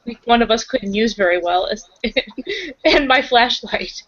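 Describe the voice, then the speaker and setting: Woman player, slightly high voice, casual improvised roleplay, dry but dull lo-fi sound. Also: lively intonation, measured pace, a bit bright, no room sound. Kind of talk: casual improvised in-character chat.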